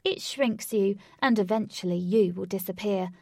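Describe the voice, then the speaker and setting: A woman voicing a defiant young woman who confronts her stalker in a raised, forceful voice. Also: moderate pace, gravelly, no echo, clean studio sound. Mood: insistent. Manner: forceful, pleading, high.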